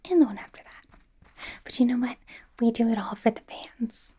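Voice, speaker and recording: A woman's voice: fairly high pitch, moderate pace, warm tone. A woman playing a bubbly, devoted young K-pop idol and stylist in an ASMR roleplay, whispering in a gushing, rambling, playful way. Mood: encouraging, adoring. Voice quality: breathy whisper.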